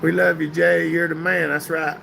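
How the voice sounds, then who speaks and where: Gravelly man, hoarse live-streamer. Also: a little high, flat delivery, moderate pace, sharp tone, slight background noise. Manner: folksy, answering viewer comments by name. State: getting hoarse and stuffy. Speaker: livestream host reading chat. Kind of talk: casual live-stream chat. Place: indoor workshop room, sitting at a metal table.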